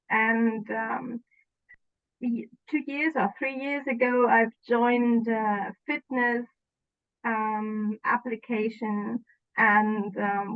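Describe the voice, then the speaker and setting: A woman whose first language is German, speaking slowly with many pauses and ums as a guest in a casual interview. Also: on the high side. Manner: hesitant, many ums, apologetic about her English. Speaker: interview guest, past client. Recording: tinny phone-like line.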